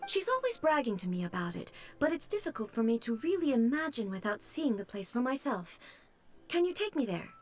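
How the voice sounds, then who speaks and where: Calm royal lady, kinda puzzled, lively pitch. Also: soft background music. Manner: calm, measured, slightly puzzled. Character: regal, composed woman ruler. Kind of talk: game dialogue.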